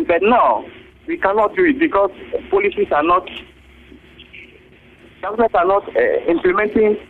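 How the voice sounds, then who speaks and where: A man's voice: sits high, normal speed, a little hiss or hum. A man with a Ghanaian English accent, a teachers' association official interviewed on radio, talking hesitantly with many fillers and repeated words. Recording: tinny phone line.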